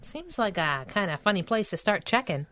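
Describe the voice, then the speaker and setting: An actress playing a plucky young crime-fighting sidekick in a 1930s-style radio adventure, slangy, cheeky and teasing. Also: female voice, medium pitch, very animated, moderate pace, a bit bright.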